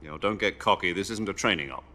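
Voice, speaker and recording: A man's voice: low-pitched, quick pace, bright, forceful tone. An actor playing a military commander who briefs his field agent in a game cutscene. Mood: briefing. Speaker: mission commander on radio.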